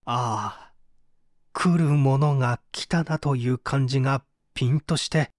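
A man's voice: mid-range voice, measured pace, dry recording, studio quality, lightly acted. A man playing an anguished painter confessing to an interrogator in a polite, emotive radio-drama reading. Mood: remorseful, subdued. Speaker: narrator and reader.

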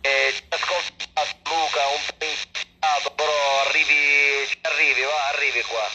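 A man's voice: fairly high pitch, little pitch movement, normal speed, sharp tone, slight background noise, low-quality recording. A man from Catania, an amateur radio operator speaking on air through a receiver. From Catania, Italy. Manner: uses call-sign radio talk. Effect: heard over a radio receiver.

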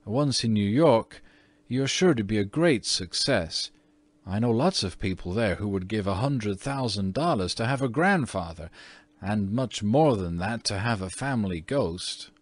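A man's voice: lowish voice, lively intonation, measured pace, dry recording. A man voicing a prim, spirited young American girl in a storybook, earnest and scolding. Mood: scornful. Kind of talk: storybook character reading.

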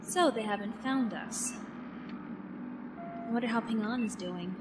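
A woman's voice: fairly high pitch, a little slow, a little hiss or hum, close to an everyday voice. A woman playing a caring young crew member in an audio drama, speaking casually and expressively. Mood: worried.

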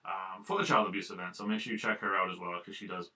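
Bright-voiced man hosting, off the mic. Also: low-pitched, steady tone, fast talker. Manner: profane, self-deprecating, joking. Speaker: radio show host. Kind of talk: casual chat. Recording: distant microphone.

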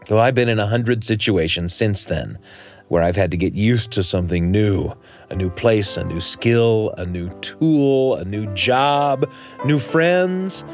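Expressive man telling a story, widely swinging intonation. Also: lowish voice, unhurried, soft background music. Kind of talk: scripted personal storytelling.

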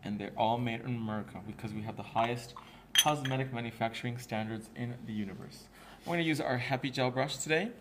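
Man, live nail demo host, talkative, average pitch, faint background hum. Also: quick pace. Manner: chatty, explains steps while reading viewer comments. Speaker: nail product demonstrator.

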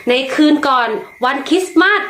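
A woman reading a children's story aloud, slow and lilting, putting expression into each line. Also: sharp tone, reverberant.

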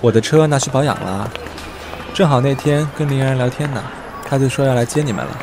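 A man's voice: mid-range voice, fairly quick, music in the background, lightly acted. A man in an amateur audio drama playing a breezy, joking best friend, bantering and upbeat. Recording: clear outdoor traffic noise behind.

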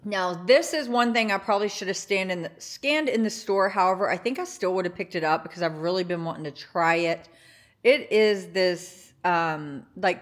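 A young woman casually chatting about her grocery haul at home, enthusiastic, with lots of filler words like "like" and "um.". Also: medium pitch. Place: home kitchen counter.

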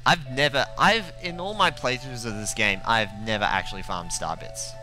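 A man chatting casually as a gaming co-host in a hesitant, halting way. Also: mid-range voice, pushed and bright, faint music behind. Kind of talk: casual game race chat.

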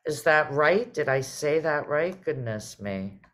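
A middle-aged woman hosting a casual online conversation, speaking reflectively and haltingly over a video call. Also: low voice, animated. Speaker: host, artistic director.

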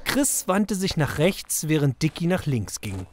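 A man's voice: on the high side, very animated, unhurried, a little mellow. A man delivering scripted narration as the storyteller of a children's audio drama.

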